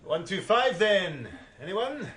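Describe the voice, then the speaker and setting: High-pitched brisk male auctioneer. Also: sing-song, fairly bright tone, exaggerated voice. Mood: brisk, businesslike. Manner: drawn-out, projected calling of bids. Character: brisk village auctioneer working the room. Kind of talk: radio drama acting.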